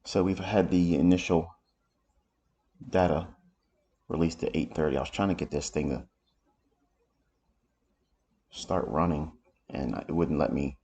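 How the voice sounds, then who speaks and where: A man with a raspy voice from allergies, giving unhurried live commentary as he explains market charts. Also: rumbling low, warm tone. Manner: unhurried, thinking aloud while explaining charts. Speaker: trading educator. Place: home office.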